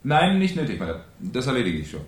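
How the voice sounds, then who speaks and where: An actor playing a shrewd, courteous French police commissioner with dry irony in a 1970s German radio play. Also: male voice, mid-range voice, wildly swooping pitch, background noise. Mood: businesslike, courteous. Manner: polite, dryly ironic, unhurried questioning. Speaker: actor in a radio crime drama.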